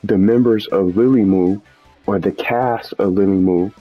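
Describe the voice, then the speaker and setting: A man's voice: on the low side, steady tone, measured pace. A man hosting a gaming video with casual, unscripted commentary, rambling and often repeating and correcting himself. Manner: rambling, repetitive, self-correcting. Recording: muffled audio with background music.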